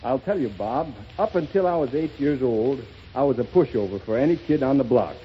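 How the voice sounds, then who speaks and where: A man's voice: medium pitch, animated, a little fast. A man who is a physical trainer, a guest on a scripted radio show, telling modest, joking stories on an old 1930s broadcast recording. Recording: muffled 1930s radio broadcast, faint hiss.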